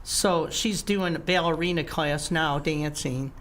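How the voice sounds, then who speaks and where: An older man, a guest musician, telling his story in a halting, casual way with many restarts and some throat clearing. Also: on the high side, fairly bright tone, a little hiss or hum. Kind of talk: casual interview talk.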